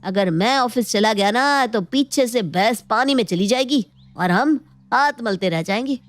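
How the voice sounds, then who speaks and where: A woman's voice: fairly high pitch, animated, unhurried, no room sound. Woman putting on a scheming young nephew, shift down. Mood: stubborn, scheming. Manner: ingratiating, boastful. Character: scheming, flattering young nephew, eager to please. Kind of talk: animated Hindi moral story dialogue.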